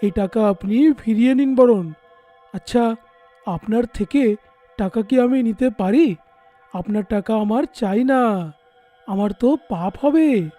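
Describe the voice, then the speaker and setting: A man's voice: very high voice, slow, long pauses, mellow, faint music behind. A man voicing a simple, fretful village craftsman in a cartoon story, speaking emphatically and colloquially. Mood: friendly. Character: simple, excitable village idol-maker husband.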